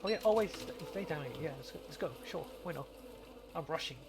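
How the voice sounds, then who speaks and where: A man's voice: on the high side, fast, gravelly, noisy background. A man casually chatting as he plays a game on a podcast, joking and rambling with repeated words. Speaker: podcast co-host and game player. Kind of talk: casual gaming chat while playing. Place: remote voice call.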